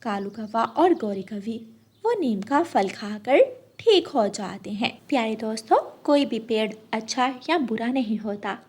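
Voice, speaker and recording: A woman's voice: on the high side, animated, measured pace, darkish tone. A woman narrating a scripted children's story as the storyteller.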